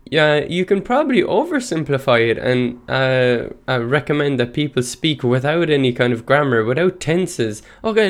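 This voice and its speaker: A man from County Clare with an Irish accent, whose first language is Irish, hosting a casual solo show. He thinks aloud and drifts between tangents, correcting himself and laughing lightly as he goes. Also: fairly high pitch. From Ireland. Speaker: podcast host.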